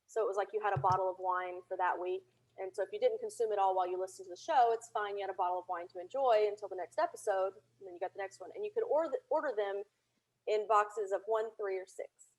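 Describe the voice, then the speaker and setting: A woman from Tennessee speaking as an interview guest, telling anecdotes in a chatty, conversational and animated way. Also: mid-range voice, fast talker, low-quality recording. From Tennessee, United States. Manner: chatty, animated anecdotes with laughter and reenacted dialogue. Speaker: interview guest, audio drama creator.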